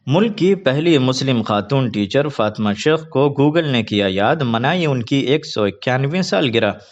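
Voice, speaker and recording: A man reads scripted news in a formal, measured announcer manner. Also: mid-range voice, animated. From India. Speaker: news presenter. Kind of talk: scripted news reading in formal Urdu register. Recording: dull lo-fi online video audio.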